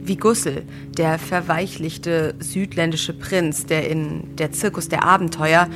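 Lower-voiced woman in her early thirties. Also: steady tone, a little slow, music in the background. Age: early 30s. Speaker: podcast host. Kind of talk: scripted narration and conversation about books and society.

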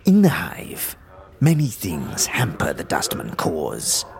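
Gravelly, lurching deep dustman guard, acted by a man. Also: wildly swooping pitch, crowd noise, full character voice. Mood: grave, urgent. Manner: halting inverted syntax, muttering. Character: spindle-thin twitchy dustman guard, terse and solemn. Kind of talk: fantasy audio drama acting.

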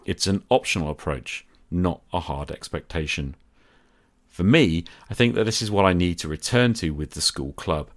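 A man presenting a scripted solo podcast as its host, speaking in a measured, deliberate way with emphatic phrasing. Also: sits low, expressive.

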